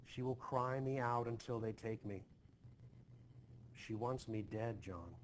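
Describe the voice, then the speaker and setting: Period-drama wife, fearful and grave, acted by a man, halting and slow. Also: medium pitch, slight background noise, natural voice. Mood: fearful, grim. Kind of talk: expressive play reading.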